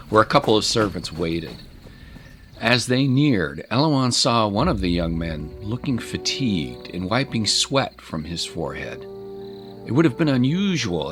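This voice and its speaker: A male narrator with a lowish voice and highly animated intonation tells a dramatic story. His delivery is measured and literary, with clearly audible music behind him.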